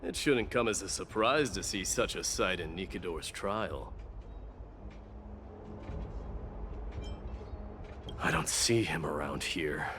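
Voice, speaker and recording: A man's voice: deep voice, lively intonation, long reverb tail, noisy background. A man playing a grave, courteous warrior lord in a video game, speaking in a formal, commanding and protective way. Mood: grim. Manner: measured, formal, authoritative.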